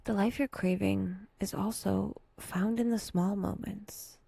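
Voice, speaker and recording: A breathy woman speaks very slowly and gently, as a meditation guide would. Her voice is mellow and creaky at the edges, often sinking toward a whisper, with long pauses and a lightly wry touch. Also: mid-range voice, fairly even intonation, dry recording. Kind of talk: soft, intimate guided meditation.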